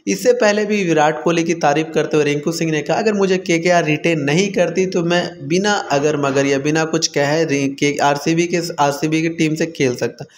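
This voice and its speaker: Higher-pitched man doing cricket commentary. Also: steady tone, normal speed, hardly any pauses, a little hiss or hum. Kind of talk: informal sports video commentary, storytelling.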